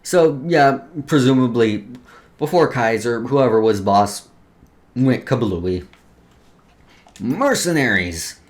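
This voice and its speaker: A man's voice: medium pitch, animated, takes it slowly, pauses often. A man doing casual let's play commentary, reading game lore aloud with stumbles, informal swearing and joking asides. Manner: stumbles over read text, joking asides. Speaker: let's player.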